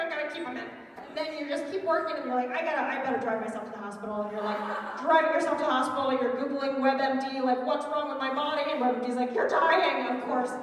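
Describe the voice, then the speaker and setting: Loud woman comic in an echoey hall. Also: high-pitched, a little hiss or hum. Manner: loud, chatty, self-mocking. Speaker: stand-up comedian. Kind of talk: stand-up comedy set. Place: large hall at a culture show. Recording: echoey hall, mic heard from far off.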